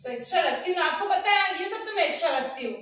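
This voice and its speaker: A woman's voice: very high-pitched, animated, very fast, a bit bright. An Indian woman in her thirties chatting casually as a family vlogger. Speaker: family vlogger, wife and mother. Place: small tiled bedroom indoors. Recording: very echoey room, distant mic, background noise.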